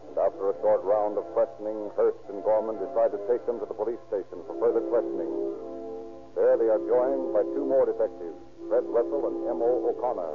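Casting: male narrator, low, formal and portentous, tinny vintage radio sound with music behind. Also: brisk. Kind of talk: dramatic scripted narration, 1930s radio.

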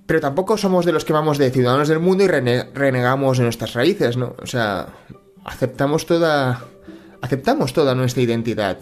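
A middle-aged man from Catalonia in Spain muses aloud in a casual monologue. His voice sits in an ordinary middle pitch, and he stops often to restart his phrases and fill pauses. A faint bed of music plays softly behind him. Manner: thoughtful, hesitant, many fillers and restarts. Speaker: personal finance channel host.

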